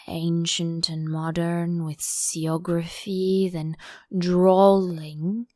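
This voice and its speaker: A melancholy old turtle creature from a children's storybook, acted out by a woman, mournful and easily offended. Every phrase is dragged out very slowly and heavy with sighs. The sound is dry and close. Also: on the low side, darkish tone, dry recording. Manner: slow, drawn-out, mournful delivery. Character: melancholy, sighing old male Mock Turtle, touchy. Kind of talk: audiobook character voice.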